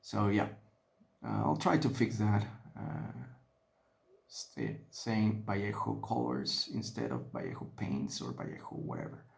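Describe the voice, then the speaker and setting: A man's voice: lowish voice, wildly swooping pitch, unhurried, creaky. A man whose first language is Spanish talks with a Spanish accent, thinking aloud with many restarts while he paints miniatures at a desk. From Mexico. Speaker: hobby vlogger. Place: indoor hobby desk.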